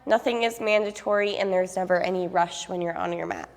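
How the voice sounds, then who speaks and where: A young woman guiding a yoga class at an even, unhurried pace, her cues calm and steady. She sounds a bit sniffly from a cold and speaks into a clip-on mic. A long reverb hangs on her voice, as in a large room. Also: on the low side, vocal fry. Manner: calm, steady cueing with soft fillers. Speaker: yoga instructor.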